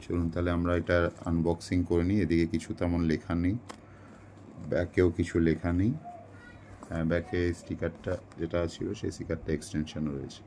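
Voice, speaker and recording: A man's voice: deep voice, level tone, fairly quick, warm tone, slight background noise. A man casually narrating an unboxing in an explanatory way, mixing in English phrases. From India. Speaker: product unboxing presenter.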